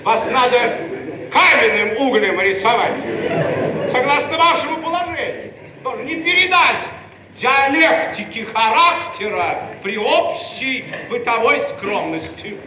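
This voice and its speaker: An actor playing a servile, gushing male painter who flatters obsequiously in a theatrical satirical radio comedy. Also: extremely high pitch, measured pace. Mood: flattering, effusive. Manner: obsequious, effusive, exclamatory. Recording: echoey, noisy archival radio recording.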